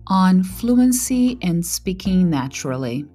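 A middle-aged woman, a native Portuguese speaker from Brazil, hosting a solo podcast in a measured, reflective read. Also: lowish voice, sing-song, warm tone, breathy edge, faint music behind. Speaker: podcast host, translator. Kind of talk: scripted solo podcast monologue.

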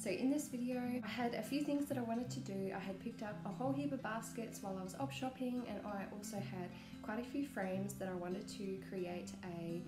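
A young woman with a cold-hoarse voice, chatting casually as she walks through a home DIY project. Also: mid-range voice, a little fast. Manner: chatty, explains steps as she works. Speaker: DIY vlogger. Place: small home rooms, nursery. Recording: echoey room with guitar music behind.

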